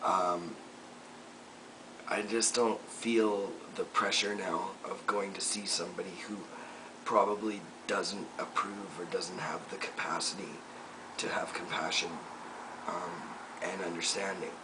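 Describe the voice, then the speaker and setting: A young man speaks in a very deep, low voice, reflective and soft, with frequent pauses and fillers as he records a personal video update. He sits a little away from the microphone. A clear layer of room noise runs underneath. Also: little pitch movement. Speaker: vlogger. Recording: distant mic with noticeable room noise.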